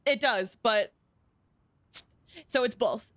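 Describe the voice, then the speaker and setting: A woman's voice: a little high, takes it slowly, a bit bright. A woman game streamer giving casual live commentary with exclamatory, gushing reactions. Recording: game music behind the voice.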